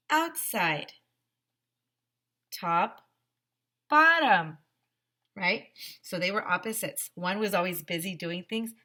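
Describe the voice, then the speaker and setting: A woman's voice: mid-range voice, sharp tone. A woman teacher reading a picture book aloud to young children, expressively, with exaggerated emphasis and questions put straight to the listeners. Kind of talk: animated storybook read-aloud with asides and questions to young listeners.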